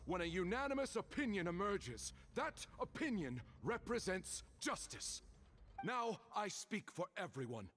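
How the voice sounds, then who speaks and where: A man's voice: high-pitched, fairly bright tone, full character voice. A man voicing a solemn, zealous spokesman for a collective spirit in a video game, with a pompous, declamatory delivery. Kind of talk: theatrical video game voice acting.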